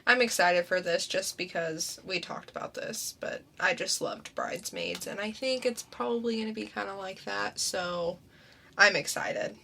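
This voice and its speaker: A woman's voice: mid-range voice, moderate pace, creaky, bright, forceful tone. A young woman co-hosting a podcast, chatting casually and reacting with short, eager remarks. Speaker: podcast co-host. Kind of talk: casual chat.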